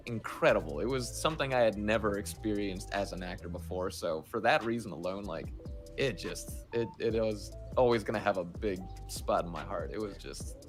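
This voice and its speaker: A man, a voice actor, talking casually and emphatically as an interview guest, telling stories in an animated way. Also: lowish voice, normal speed. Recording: music playing behind the voice.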